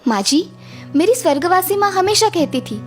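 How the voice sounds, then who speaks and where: A woman's voice: extremely high pitch, sing-song, moderate pace, faint music behind. A woman voicing a clever young daughter-in-law in a cartoon moral story, speaking with sweet, deferential politeness. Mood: innocently clever.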